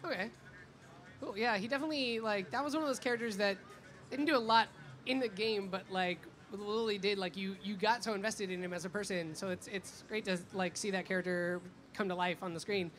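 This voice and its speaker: A woman's voice: medium pitch, a little fast. A woman interviewing a guest in a casual, conversational way, feeling out her phrasing with lots of filler words. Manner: hesitant phrasing, frequent "like". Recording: noisy convention event background.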